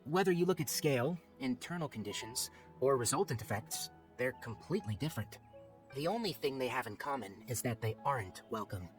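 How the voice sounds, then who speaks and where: A man's voice: medium pitch, expressive, a little fast, lightly acted. A man voicing a businesslike young forest watcher in a video game, speaking in a matter-of-fact, curt way. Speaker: voice actor in game dialogue. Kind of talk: scripted video game voice acting. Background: game background music.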